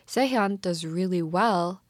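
A woman's voice: lowish voice, slow pace, dry recording. A young woman with a Canadian accent co-hosting a casual podcast chat, talking in an informal, slangy, emphatic way. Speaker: podcast co-host. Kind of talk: casual chat discussing a TV drama.

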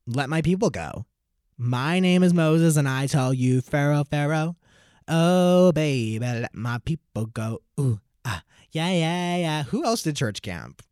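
A nineteen-year-old man hosting his own podcast, talking alone in an animated, theatrical way with sudden loud bursts of play-acting. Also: on the high side, a little slow, clear, resonant tone, no room sound, studio quality. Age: 19, nearly 20. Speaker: podcast host. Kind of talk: solo podcast monologue.

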